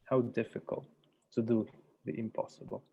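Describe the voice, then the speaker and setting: Male facilitator, measured and deliberate, creaky voice, slightly dull online-call sound. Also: mid-range voice, level tone. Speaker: workshop facilitator. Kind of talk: guided meditation and workshop facilitation. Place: virtual workshop over video call.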